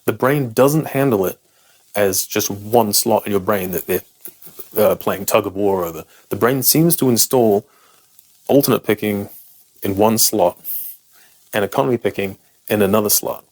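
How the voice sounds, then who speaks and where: A man's voice: lowish voice, moderate pace, long pauses, darkish tone. A man with a British accent, a native English speaker, talks to camera as a guitar teacher, explaining picking technique in a frank, self-deprecating and emphatic way.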